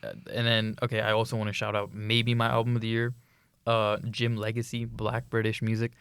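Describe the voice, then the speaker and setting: A man's voice: lowish voice, level tone, a little fast, fairly bright tone, clear, resonant tone, dry recording. A young man co-hosting a student podcast, chatting eagerly about music with frequent restarts and laughs. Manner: enthusiastic, laughs often, many restarts. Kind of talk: casual chat about music.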